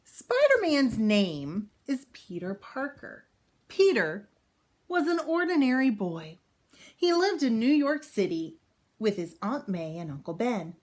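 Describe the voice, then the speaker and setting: Animated woman reading a children's book aloud. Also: fairly high pitch, takes it slowly. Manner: expressive, with explanatory asides for young listeners. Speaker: read-aloud storyteller.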